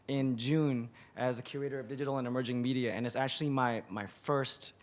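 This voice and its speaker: A hoarse man in his thirties with a raspy voice, a museum curator talking casually on a conference panel, stumbling and repeating words as he goes. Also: medium pitch, a bit bright. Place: large conference hall. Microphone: table mic on a stand.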